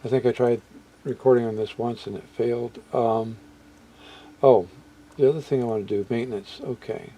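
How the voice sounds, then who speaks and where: Mellow-voiced man, halting and musing aloud. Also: mid-range voice, steady tone, poor audio. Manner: halting, musing aloud, trailing off mid-thought. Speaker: hobbyist video presenter. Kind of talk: casual unscripted product walkthrough.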